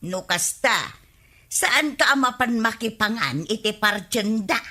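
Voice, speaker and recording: A woman's voice: fairly high pitch, animated, a little slow, pushed and bright, exaggerated voice. A woman with an Ilocano accent playing a chatty, pushy, gossipy village wife in a radio comedy drama. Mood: coaxing.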